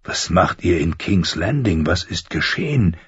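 A grave, stern nobleman in a fantasy audiobook , voiced by a man. His voice is deep and very husky. He questions gravely, in a slightly dull recording. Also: expressive, a little slow, no room sound, full character voice. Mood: worried. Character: stern noble lord, bewildered husband, quick to anger.

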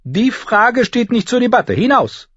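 A man's voice: high-pitched, expressive, normal speed, dry recording, muffled recording, pitched above the performer's own voice. A man voicing a stern, prim female governess in a storybook, scolding and strict.

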